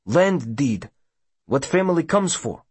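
Man acting an immigrant storybook passenger, halting speech, broken grammar, earnest, husky edge, dry sound. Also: on the high side, big pitch swings, a little mellow, dry recording, voice pushed up, full character voice. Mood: grim.

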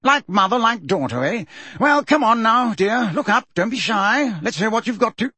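A man's voice: sits high, big pitch swings, a little slow, sharp tone, slightly rough, no room sound, pitched above the performer's own voice, heavily acted. A man voicing a pompous older government minister in an audiobook, blustering and heavily sarcastic. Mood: hearty.

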